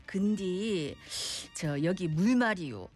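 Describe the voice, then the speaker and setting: Woman doing a drawling Chungcheong Korean accent, roundabout customer, lively pitch. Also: soft background music. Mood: coy. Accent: Chungcheong Korean, put on. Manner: drawn-out, evasive speech.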